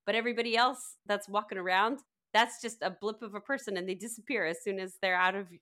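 A woman's voice: medium pitch, steady tone, quick pace, a bit bright. A middle-aged woman chatting casually as a podcast co-host, with a wry, joking, self-deprecating manner and casual swearing. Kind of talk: casual group chat.

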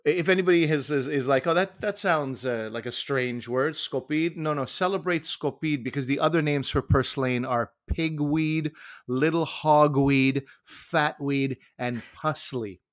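A man's voice: on the high side, unhurried, dry recording, studio quality. Playful, teasing male co-host. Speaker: podcast co-host. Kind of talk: casual chat.

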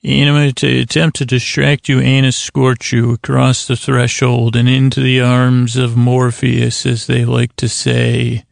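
Male bedtime podcast host, gravelly and flat in pitch, meandering and stammering, soothing, closely dry recording. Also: a little slow, hardly any pauses, no room sound. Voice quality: creaky. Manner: meandering, stammering, self-interrupting; lulling, soothing. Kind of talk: rambling bedtime monologue.

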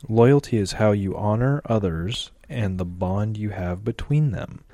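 A man's voice: fairly low pitch, animated, a little slow, dark tone. A man of about thirty co-hosting a casual podcast over drinks, laid-back and agreeable, laughing easily. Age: around 30. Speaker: podcast co-host.

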